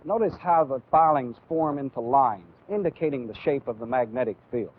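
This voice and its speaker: An American man giving a methodical, deliberate instructional lecture as a training film instructor. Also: mid-range voice. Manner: deliberate, methodical, clearly explanatory. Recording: phone-like, band-limited old training film audio.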